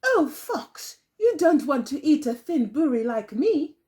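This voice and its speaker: Woman putting on a high, plaintive old woman. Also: big pitch swings, measured pace, mellow. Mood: pleading. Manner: high, plaintive, sing-song pleading. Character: anxious, pleading thin old village woman, a mother.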